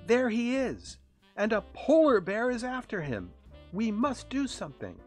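A man voicing an earnest, excitable young male reindeer in a children's storybook, given to exclaiming. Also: mid-range voice, moderate pace, faint music behind, close to an everyday voice. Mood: alarmed.

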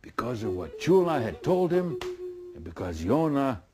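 A middle-aged man telling a folk tale in a folksy, deliberate way with dramatic pauses. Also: mid-range voice, big pitch swings, over music. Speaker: storyteller. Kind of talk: oral folk tale storytelling.

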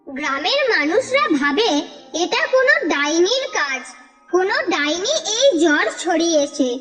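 Female cartoon narrator, very high, animated delivery, reverby with soft music bed. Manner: steady, expressive storytelling. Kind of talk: scripted storybook narration.

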